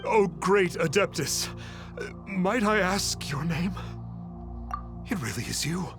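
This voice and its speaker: A man's voice: high voice, slow, music in the background. An actor playing a starstruck young man who once posed as an adept, earnest and a little stammering. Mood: awestruck. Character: repentant fake adept, awestruck young man. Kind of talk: video game voice acting.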